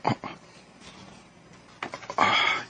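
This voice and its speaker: A man's voice: drawn-out words, lo-fi sound, very noisy. An actor with a Filipino Cebuano accent playing an ambitious, remorseful young man in a Bisaya radio drama. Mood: earnest, hopeful. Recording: radio drama with music underneath.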